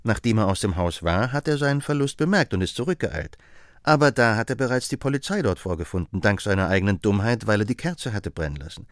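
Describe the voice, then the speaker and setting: Casting: a confident, sharp-minded detective with a lowish voice, voiced by a male reader and brisk, assured reasoning. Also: expressive, no echo, studio quality.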